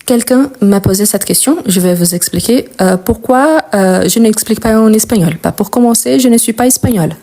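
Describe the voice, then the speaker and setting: A young woman from Brazil, a native Portuguese speaker, teaches French grammar. Her voice is mellow and warm, with pitch in the middle range. She speaks patiently and slowly repeats example words for her learners.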